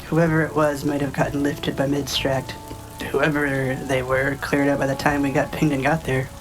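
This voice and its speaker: A woman playing a tough, blunt orc giantess in a noir audio drama, slangy and unhurried. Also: extremely low pitch, little pitch movement, poor audio. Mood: tense, resigned. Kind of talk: scripted audio drama dialogue.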